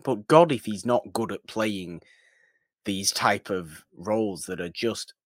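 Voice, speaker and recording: A man's voice: fairly low pitch, slow, dry recording, studio quality. A man co-hosting a podcast, casually discussing film in an emphatic way, repeating phrases and throwing out rhetorical questions. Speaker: podcast co-host.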